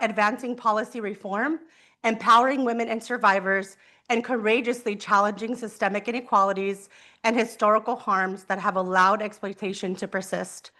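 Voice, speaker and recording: A woman's voice: mid-range voice, a bit bright. A woman moderator opening a panel, earnest and partly scripted, with small restarts. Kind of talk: event moderation.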